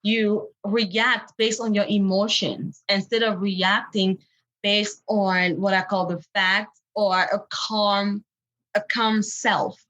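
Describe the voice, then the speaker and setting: Beninese woman coach, French-accented English, bright tone, encouraging and earnest. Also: medium pitch, level tone, slow, muffled recording. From Benin, West Africa. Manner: earnest, encouraging, light laughs. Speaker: empowerment coach guest. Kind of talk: podcast interview.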